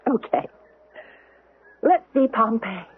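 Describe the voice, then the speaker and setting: A woman's voice: on the high side, animated, slow, over loud music. Hesitant young woman, swooping, tinny radio. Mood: reluctant, subdued. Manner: hesitant, earnest, polite. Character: hesitant young female history teacher, bewildered tourist. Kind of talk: 1970s radio drama acting. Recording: tinny band-limited old radio, clear noise.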